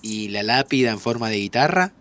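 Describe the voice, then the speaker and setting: A man's voice: mid-range voice, lively intonation, fairly quick, fairly bright tone, natural voice. An actor playing a joking, encouraging young man from an audio drama, with a Rioplatense Argentine accent and a teasing, colloquial way of talking. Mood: casual. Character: joking, encouraging young man, dead friend visiting the future. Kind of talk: naturalistic audio drama acting.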